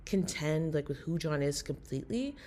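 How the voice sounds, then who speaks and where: Low-voiced woman, excited fan message. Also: moderate pace. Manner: excited, earnest. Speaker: listener sending a voice message. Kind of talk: enthusiastic fan commentary.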